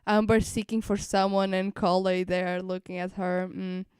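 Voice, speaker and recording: A woman's voice: medium pitch, flat delivery, normal speed, darkish tone, clean tone, no echo, studio quality. A woman streamer giving casual live reaction commentary, rambling and repeating phrases as she thinks aloud. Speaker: streamer reacting to a game livestream.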